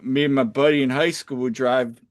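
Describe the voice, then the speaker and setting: A man's voice: mid-range voice, steady tone, moderate pace, dry recording. A man from Pennsylvania with an American accent, interviewing casually and conversationally as a podcast host. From United States, Pennsylvania.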